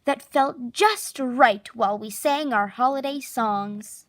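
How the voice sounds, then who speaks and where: A woman narrating a children's picture book expressively, with theatrical, drawn-out emphasis on key words. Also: a little high, no room sound. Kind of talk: expressive children's storybook read-aloud.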